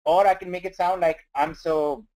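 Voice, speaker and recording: A man's voice: high voice, fairly even intonation, moderate pace, darkish tone, low-quality recording. A man from India, not a native English speaker, coaching listeners earnestly through numbers on a business webinar. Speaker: company trainer on webinar. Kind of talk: motivational webinar talk.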